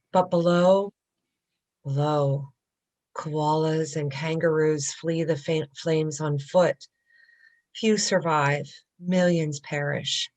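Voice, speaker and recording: Woman w low voice reading a poem, grave and dramatic, super slow. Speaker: worship service reader.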